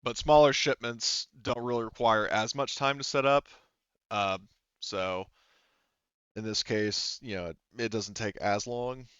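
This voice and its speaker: A man's voice: fairly low pitch, steady tone, measured pace, fairly bright tone, clear, resonant tone, no room sound, highs cut off. A man running a tabletop roleplaying game as game master, patiently clarifying details to players step by step.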